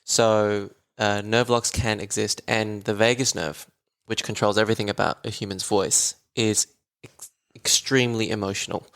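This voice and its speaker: A man's voice: fairly low pitch, steady tone, moderate pace, vocal fry, hardly any pauses, a bit bright, clear, resonant tone. A man, a voice therapist talking as a guest in a casual podcast conversation, enthusiastic and chatty with frequent laughs.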